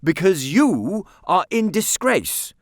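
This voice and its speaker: A man voicing a strict, haughty aunt in a storybook reading, with a sharp, lofty, emphatic manner. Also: sits high, sing-song, slow, no echo, studio quality, voice pushed up, heavily acted. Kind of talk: storybook character voice in audiobook reading.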